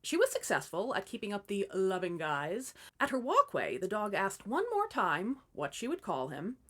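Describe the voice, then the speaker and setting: Bright, animated woman storyteller. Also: mid-range voice. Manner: wry, irreverent asides. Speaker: host and storyteller. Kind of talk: comic scripted fairy tale narration.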